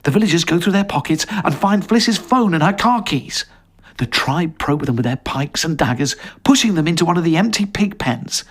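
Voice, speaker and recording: A man reading a story as dramatic scripted narration, in a suspenseful, expressive manner. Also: a little high, normal speed.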